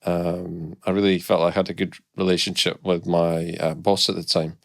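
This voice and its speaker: A man's voice: sits low, little pitch movement, moderate pace, vocal fry, no room sound, clean studio sound. A middle-aged man from Scotland with a Scottish accent, a guest talking candidly and reflectively about his career, with hesitant restarts. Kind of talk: casual podcast interview.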